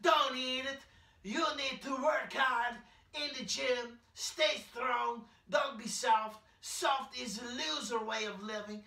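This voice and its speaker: Performer doing a gravelly, pompous immigrant poet. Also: mid-range voice, fairly even intonation, slow, pushed and bright, exaggerated voice. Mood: scolding. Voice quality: broken English, blunt pronouncements, chanting repetition. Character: boastful male immigrant poet named Sergio, anxious about deportation. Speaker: comedy character reciting poems. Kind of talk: prerecorded comedy bit. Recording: echoey, distant, music underneath.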